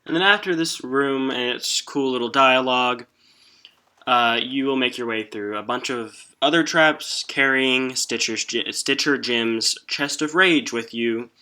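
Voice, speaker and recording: A man hosts a video game walkthrough in a bright, forward voice. His delivery is informal and a little halting, explaining things step by step in casual commentary. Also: medium pitch. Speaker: game guide video host.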